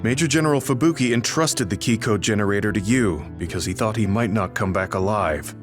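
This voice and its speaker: An actor playing a calm, dependable male squad mate in an anime game, composed and considerate. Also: on the low side, fairly quick, music in the background, close to an everyday voice. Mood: calm, supportive. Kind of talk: anime game cutscene dialogue.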